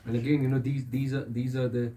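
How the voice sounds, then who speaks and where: A man's voice: mid-range voice, little pitch movement, fast, dark tone, slight background noise. A man whose first language is Urdu, giving earnest advice at a home gathering, often repeating himself and asking if he is understood. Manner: earnest, repeats phrases, asks "you understand me?". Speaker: religious elder giving advice. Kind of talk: casual chat in a gathering. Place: carpeted room in a house, seated on the floor.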